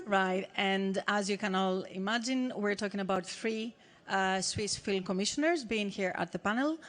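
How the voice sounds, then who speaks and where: A woman chairs a panel discussion at a mid pitch for her sex, introducing each speaker in turn. She keeps a steady pace and often stops on a filler before going on. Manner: steady, with frequent "uh" pauses. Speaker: panel moderator. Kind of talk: moderating a festival panel.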